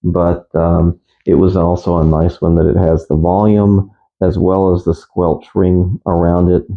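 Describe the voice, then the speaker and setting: Deep-voiced man, halting and matter-of-fact. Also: little pitch movement, creaky, narrow, tinny audio. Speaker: amateur radio hobbyist presenter. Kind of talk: casual gear review.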